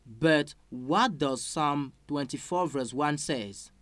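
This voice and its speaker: Slow, careful male sermon interpreter. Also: a little high, fairly bright tone, no echo. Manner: slow, careful, with small slips and fillers.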